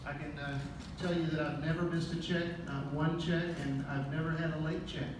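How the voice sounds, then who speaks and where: A man's voice: on the high side, level tone, moderate pace. A man giving a rousing motivational speech at a business event. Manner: rousing, preacher-like delivery. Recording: echoey hall, distant mic, crowd noise.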